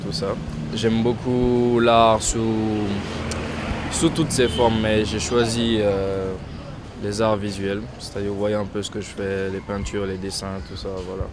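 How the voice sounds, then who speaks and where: A young man from Guinea, a painter, answering interview questions in a casual, hesitant way with many restarts and softly trailing phrases. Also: fairly low pitch, level tone, a little slow. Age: young adult. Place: outdoors in front of a painted backdrop, street traffic nearby. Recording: outdoor street noise behind voice.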